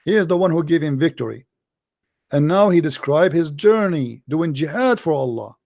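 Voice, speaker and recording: A man's voice: a little high, lively intonation, moderate pace, vocal fry, darkish tone, no room sound, clean studio sound. A man with an Arabic accent, native Arabic speaker, hosting and arguing emphatically with a caller. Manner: insistent, emphatic, repeats his denials. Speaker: host. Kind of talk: heated debate with a caller.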